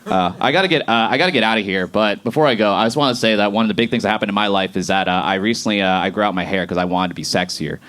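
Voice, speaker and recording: A man of thirty doing a stand-up comedy set on a club stage, animated and jumping to high shouts on punchlines. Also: very fast, few pauses. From Boston area.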